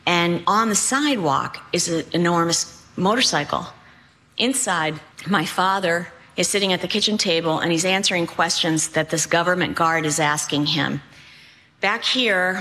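A woman in her sixties from Cuba, raised in New England, telling a personal story with deliberate phrasing. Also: on the low side, bright, forceful tone. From born in Cuba, raised in New England. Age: 60s.

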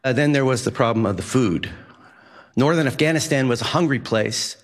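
Middle-aged male storyteller, mid-pitched, reverberant hall, faint music beneath. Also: brisk. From Massachusetts, USA. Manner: vivid, animated when recounting action. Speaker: journalist and news editor. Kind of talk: personal storytelling.

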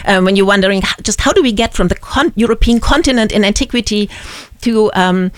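A woman's voice: mid-range voice, fairly quick, no echo, clean studio sound. A woman with a German accent, a museum curator who answers interview questions thoughtfully, with many ums and restarts.

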